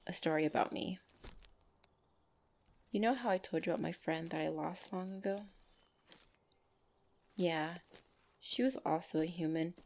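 A woman playing a gentle, shy orc lover in an ASMR roleplay, speaking softly and hesitantly with tender pauses. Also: on the low side, close to an everyday voice.

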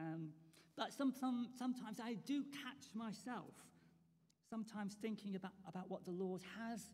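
Man, preacher, high voice, earnest, measured sermon, long church reverb. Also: darkish tone.

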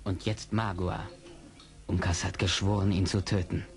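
Solemn Mohican warrior for a radio adventure, low-voiced, terse, bent on revenge. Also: male voice, little pitch movement, normal speed. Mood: grim. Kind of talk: radio play acting.

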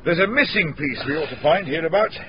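An actor playing a methodical, courteous detective inspector with quiet authority in a radio drama. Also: male voice, on the high side, animated, a little fast, natural voice. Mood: brisk, measured. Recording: dull, noisy radio drama recording.

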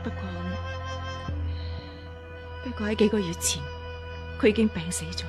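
An actress playing a scheming impostor empress dowager in a radio drama, deferential, hesitant and pleading. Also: female voice, medium pitch, normal speed, soft background music, lightly acted. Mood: earnest, pleading. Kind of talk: Cantonese radio drama acting.

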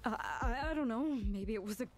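A woman's voice: fairly high pitch, brisk, a crowd in the background, close to an everyday voice. A woman playing a terrified young female captive courier in a video game, stammering and pleading. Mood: frightened. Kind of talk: video game character acting. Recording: music behind.

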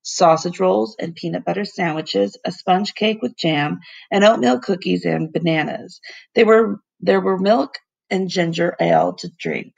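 A woman teacher reading a children's novel aloud to her class, plain and unhurried, correcting small slips and adding asides to the kids. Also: on the low side, creaky, breathy edge, muffled recording. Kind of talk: read-aloud storybook narration.

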